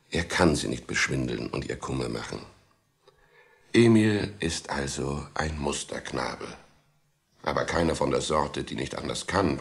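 A storyteller in a children's radio play. His voice is extremely low, with a creaky, gravelly grain. He speaks directly to young listeners, measured, wry and sympathetic. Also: exaggerated voice. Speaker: narrator.

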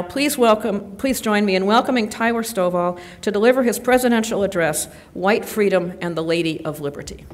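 A woman in her sixties reads a measured, formal introduction at a podium microphone. The setting is a large hotel ballroom at an academic meeting. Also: medium pitch. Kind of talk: formal introduction read from notes.

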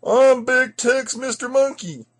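A man voicing a loud, folksy cowboy who drawls, blusters and repeats himself in a playful children's story. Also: very high-pitched, a little slow, no room sound, clean studio sound. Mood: blustering, folksy. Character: loud folksy cowboy named Big Tex, blustering sidekick boss.

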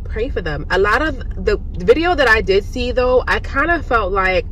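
A young woman vlogging casually into a phone at arm's length, animated and exasperated, swearing freely, first in a room at home and then sitting in a car. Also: a little high, moderate pace, slight background noise, poor audio. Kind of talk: casual vlog chat and rant. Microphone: phone camera at arm's length.

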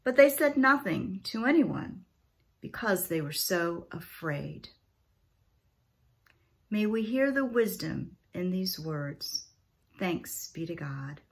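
A middle-aged woman reads scripture formally, with deliberate, careful phrasing. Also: on the low side, very animated, gravelly. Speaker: scripture reader. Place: small room at home.

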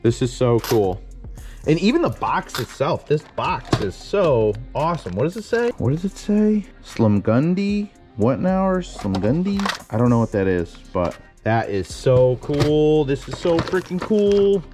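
A young man, a storage unit vlogger, chatting excitedly as he digs through boxes in an indoor storage unit on a head-mounted camera mic. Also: on the high side, measured pace, music in the background. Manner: excitable, keeps exclaiming wow and singing snatches. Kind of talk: excited casual narration while unboxing.

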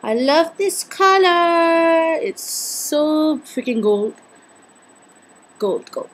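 A woman in her twenties with a Singaporean accent and a slightly husky voice, chatting playfully through a casual makeup tutorial. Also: high-pitched, lively intonation, drawn-out words, low-quality recording. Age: 20s. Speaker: beauty vlogger. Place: indoors, small home room.

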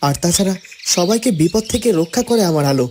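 A man playing an earnest young village husband in a fairytale cartoon, speaking in a measured, firm way. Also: high-pitched, lively intonation, darkish tone, a little hiss or hum. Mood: polite, subdued. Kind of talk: Bengali fairytale cartoon dubbing.

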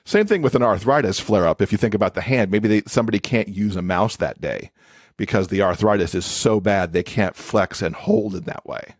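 A man's voice: on the low side, a little fast, no room sound, clean studio sound. A man speaking as an expert podcast guest, explaining things in a conversational interview style.

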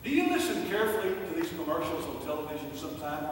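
A man's voice: on the high side, very animated, fast. A man in his sixties preaching a sermon as a pastor, emphatic and repeating key phrases for weight. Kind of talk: sermon to a congregation. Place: church sanctuary, indoors. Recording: very echoey, distant hall recording with noise.

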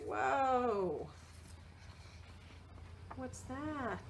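Woman, high voice, lively swooping intonation, sing-song, children's storytime read-aloud, questioning delivery. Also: very slow, vocal fry, noisy background. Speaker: children's librarian reading a picture book aloud.

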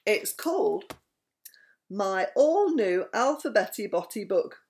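A woman's voice: medium pitch, big pitch swings, slow pace, noisy background. An older woman voicing a bossy, eager male frog in a picture book. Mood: proud.

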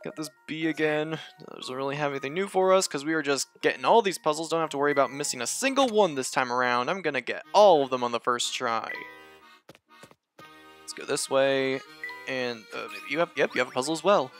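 An excitable man hosting a puzzle game walkthrough, reading the hints step by step and then gushing over the characters. His voice sits mid-range, with soft game music playing under the talk. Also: medium pitch, a little fast. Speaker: let's-play host.

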